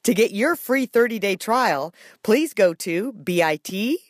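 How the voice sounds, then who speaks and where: A woman's voice: medium pitch, lively intonation, takes it slowly, no room sound, clean studio sound. A woman reading a scripted promotional announcement as a podcast announcer. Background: music.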